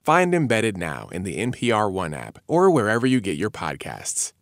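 A man's voice: on the low side, wildly swooping pitch, normal speed. A man reading a scripted podcast promo as an announcer.

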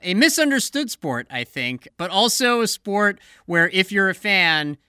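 An enthusiastic man chatting as a podcast host, sitting a little high in his register. His voice bounces animatedly as he explains things, and the studio sound is dry and close. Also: unhurried, smooth, dry recording. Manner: enthusiastic, lively. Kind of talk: casual chat.

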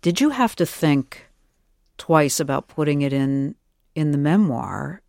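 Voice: mid-range voice, expressive, unhurried, gravelly, darkish tone, no room sound. Radio interviewer, conversational and attentive, thoughtful questioning delivery, very dry recording.

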